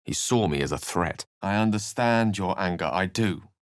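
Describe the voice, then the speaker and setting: A low-pitched, bookish wizard in a fantasy video game. He is wry and courteous, choosing ornate words with theatrical care. His intonation rises and falls widely, and the recording is dry and close. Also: animated, moderate pace, clean tone, no room sound. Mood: hushed, grave narration. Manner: articulate, ornate, dramatic phrasing. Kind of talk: theatrical fantasy game dialogue and storybook-like narration.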